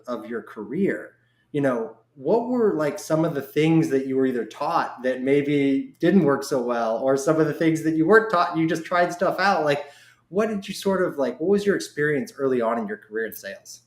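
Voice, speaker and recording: A man hosting a casual interview podcast, talking informally and haltingly as he rephrases his questions. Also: fairly high pitch, lively intonation. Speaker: podcast host. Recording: roomy, echoey sound.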